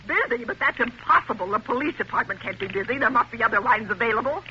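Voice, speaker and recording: An actress playing a wealthy, imperious, self-pitying invalid wife in a 1940s radio thriller, nervous, stammering and melodramatic. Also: female voice, a little high, animated, fast, pushed and bright. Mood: agitated, demanding. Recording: scratchy, muffled old radio broadcast, hiss.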